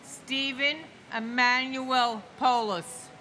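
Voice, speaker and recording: Solemn high-voiced woman in her sixties. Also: fairly even intonation, bright, forceful tone, slight background noise. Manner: slow, solemn and emphatic. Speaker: victim's family member reading names. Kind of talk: memorial ceremony name reading and speech.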